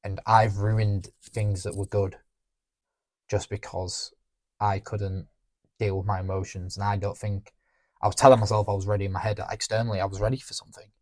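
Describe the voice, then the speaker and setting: A young man talking candidly on a podcast, reflective and halting, often restarting his sentences. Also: on the low side, little pitch movement, dry recording. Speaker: podcast co-host. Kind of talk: candid emotional conversation.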